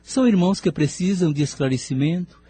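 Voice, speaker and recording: A man's voice: high-pitched, fairly quick, warm tone, breathy edge, no echo. A man with a Brazilian accent playing an earnest, compassionate scholarly spirit in an audio drama, formal and reflective.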